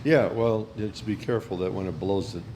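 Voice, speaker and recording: Male podcast guest, lowish voice, short casual comments, echoing room with faint noise. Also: a little fast, dark tone. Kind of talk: casual chat, brief remarks. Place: indoor cigar lounge.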